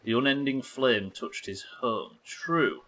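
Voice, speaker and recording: A man's voice: mid-range voice, slow pace, sharp tone. A man doing casual livestream game commentary, thinking aloud, questioning himself and swearing now and then. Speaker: live game streamer.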